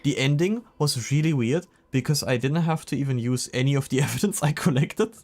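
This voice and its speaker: Young male game streamer, medium-pitched voice, casual chat, joking, rambling. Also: a little fast, darkish tone. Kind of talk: casual chat over gameplay.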